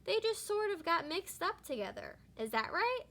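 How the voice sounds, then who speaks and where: A curious boy bear cub from a children's storybook, performed by a woman reader. He speaks in a high voice with earnest, thoughtful wonder. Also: sing-song, moderate pace, a bit bright, heavily acted.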